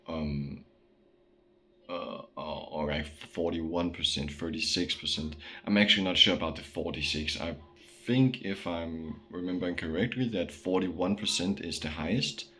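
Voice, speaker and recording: A man's voice: sits low, fairly bright tone, a little hiss or hum. A young man from Denmark with a Danish accent, ranting casually to camera with slang, fillers and restarts. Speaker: vlogger.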